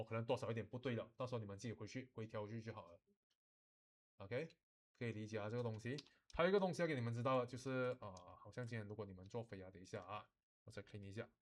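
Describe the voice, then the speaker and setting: A male instructor with a mid-pitched voice walks students through animation software. He talks informally, moves between two languages and repeats his explanations, pausing to check they understand. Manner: switches between Mandarin and English, repeating explanations. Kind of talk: live software tutorial, explaining step by step to students.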